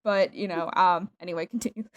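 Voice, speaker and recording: A woman's voice: fairly low pitch, fairly even intonation, fast. A young adult woman hosting a podcast, rambling and self-deprecating in casual chat, often laughing. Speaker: podcast host.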